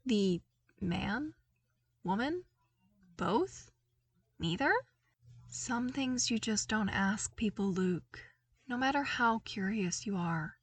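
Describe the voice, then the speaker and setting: A woman narrating a story as a podfic reader, in an expressive, dramatic way with emphatic exclamations and pauses. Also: mid-range voice, breathy edge, no room sound, recorded from a distance. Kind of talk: expressive scripted fiction narration.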